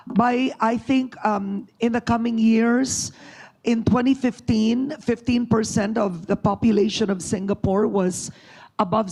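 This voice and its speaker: A woman's voice: mid-range voice, darkish tone, breathy edge, poor audio. A woman moderating a panel forum before an audience, addressing the room directly.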